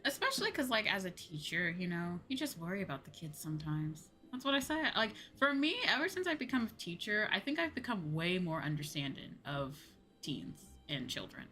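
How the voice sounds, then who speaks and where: A woman's voice: fairly low pitch, sing-song, brisk, hardly any pauses, sharp tone, faint music behind. A woman streamer talking casually and conversationally in a livestream chat. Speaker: VTuber streamer.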